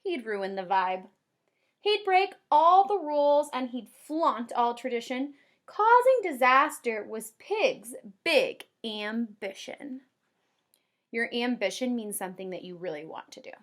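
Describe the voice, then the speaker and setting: A woman kindergarten teacher doing an expressive read-aloud for young children, with drawn-out emphasis and simple explanations. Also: on the high side. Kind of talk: animated children's read-aloud with comprehension questions.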